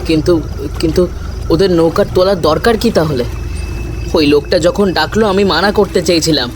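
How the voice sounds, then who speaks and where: A man's voice: on the high side, normal speed, background noise, lightly acted. A man playing a bewildered, frightened boat passenger in a ghost story, asking urgent questions with a stammer. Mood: alarmed, bewildered.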